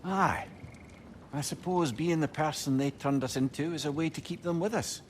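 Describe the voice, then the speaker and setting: An actor with a Scottish accent playing an earnest, eloquent, lovelorn sage in a video game. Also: male voice, fairly high pitch, normal speed, a little hiss or hum, natural voice. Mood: earnest, wistful. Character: eloquent, tender, lovelorn male sage, former scoundrel. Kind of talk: dramatic video game dialogue. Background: music.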